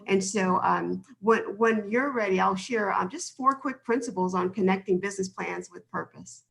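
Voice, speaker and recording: A woman's voice: mid-range voice, normal speed, vocal fry, poor audio. A woman giving earnest, gracious remarks as a consultant speaking on an online panel. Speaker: business planning consultant, conference speaker. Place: online video call.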